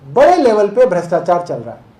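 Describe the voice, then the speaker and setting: A man's voice: high-pitched, huge pitch swings, fairly quick, mellow. Guy giving a press briefing, explains stuff step by step, roomy echo. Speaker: political party spokesperson. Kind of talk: press conference address. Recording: roomy, echoing press room sound.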